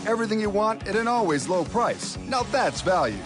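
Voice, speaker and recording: A man's voice: high voice, very animated, fairly quick. A man reading a scripted radio commercial as a voice-over announcer. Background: music bed.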